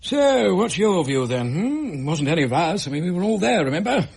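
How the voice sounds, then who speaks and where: An actor playing a hearty, blustering English colonel in a period audio drama. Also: male voice, high-pitched, very animated, brisk, vocal fry, darkish tone, slightly rough, heavily acted. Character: blustering, jovial old British colonel back from India.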